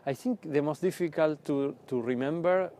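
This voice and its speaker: A man with an Argentine accent, slow and self-deprecating, speaking in a documentary interview. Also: on the high side, dark tone, slight background noise.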